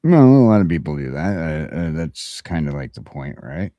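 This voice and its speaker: American guy on a morning podcast, really low voice, dry close sound, rambles and stops and starts with opinions. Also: sing-song, normal speed, warm tone, no echo. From United States. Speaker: talk show co-host. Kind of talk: casual morning podcast chat.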